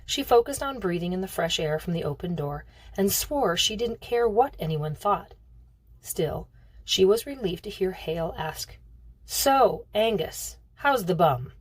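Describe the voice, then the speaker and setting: A woman reading a novel aloud as expressive narration. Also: lowish voice, normal speed, husky, dry recording, rough recording. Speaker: reader of a novel.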